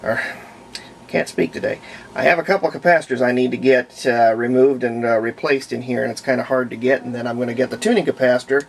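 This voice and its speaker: A man's voice: mid-range voice, fairly even intonation, quick pace, gravelly, slight background noise. A man talking casually and unscripted in a folksy way as he demonstrates a hands-on repair at an indoor workbench. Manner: folksy, unscripted, narrating while working. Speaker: hobbyist radio restorer presenting a repair.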